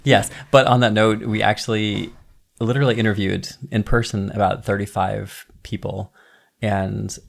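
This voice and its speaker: Deep gravelly-voiced man. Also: flat delivery, normal speed. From United States, Maine. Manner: easygoing, laughs often, many fillers. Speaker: band member, guest. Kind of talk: casual interview chat.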